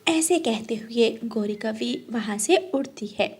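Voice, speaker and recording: A woman with a fairly high voice works as narrator. She delivers a scripted children's cartoon story. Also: a little slow, darkish tone, breathy edge.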